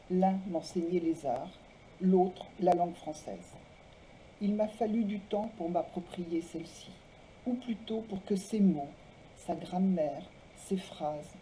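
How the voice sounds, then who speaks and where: A woman presenting and reading literary texts aloud in a measured way, phrase by phrase. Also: medium pitch, dark tone, a little hiss or hum, low-quality recording. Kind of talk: literary talk, biographical introductions and read-aloud texts.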